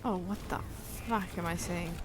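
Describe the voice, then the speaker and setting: A woman's voice: fairly low pitch, fairly even intonation, moderate pace, heavy background noise. A woman streaming a game, talking to herself in a loose, joking, casual way with some swearing. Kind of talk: casual livestream gaming commentary.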